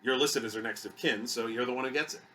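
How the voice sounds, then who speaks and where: A man voicing an older starship captain, formal, measured and compassionate, in an audiobook story. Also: mid-range voice, pushed and bright, a little hiss or hum, lightly acted. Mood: formal, solemn.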